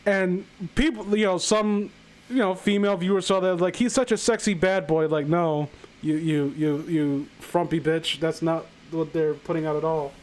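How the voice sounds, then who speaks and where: A man's voice: fairly high pitch, steady tone, normal speed, low-quality recording. A man hosting a live stream, chatting casually and bluntly about films, rambling and swearing as he gives opinions.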